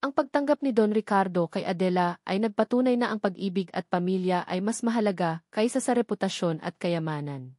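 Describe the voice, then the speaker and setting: A woman narrates a sentimental love story from a script. Her mid-pitched voice is earnest and measured. The recording is dry and close, with very little room sound. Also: expressive, mellow, no room sound.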